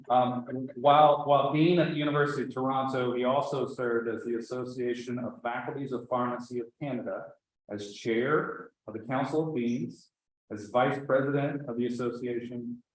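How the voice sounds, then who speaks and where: A man, an associate dean, reading out an award introduction at a banquet ceremony, with friendly asides and small restarts. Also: mid-range voice. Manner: reads a long citation, with asides and restarts. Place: large banquet hall. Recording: very echoey hall through PA.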